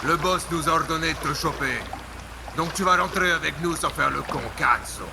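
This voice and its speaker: Gravelly mob henchman played by a man, loud noise. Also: on the high side, moderate pace. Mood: threatening. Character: gruff male mob henchman, bossy and threatening. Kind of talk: audio drama acting. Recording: very noisy, boat engine and water.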